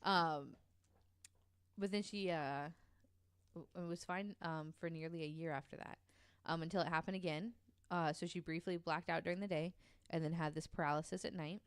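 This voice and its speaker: A woman's voice: low voice, fairly even intonation, normal speed, clear, resonant tone, no echo. A woman co-hosting a podcast, casually telling a true story with lots of ums and likes. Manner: chatty, many ums and likes, laughs easily.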